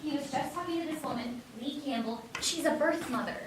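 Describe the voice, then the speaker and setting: A woman's voice: high-pitched, a little fast, lightly acted. A woman acting an earnest adopted woman in her twenties searching for her birth mother in an amateur stage play. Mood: excited. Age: 20s. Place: stage of a one-act play. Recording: echoey stage, distant mic, noisy.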